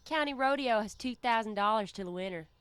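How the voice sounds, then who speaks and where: High-voiced, dry-witted teenage girl. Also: animated, fairly quick, a bit bright, smooth, no echo. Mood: wry, offhand. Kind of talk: naturalistic radio play acting.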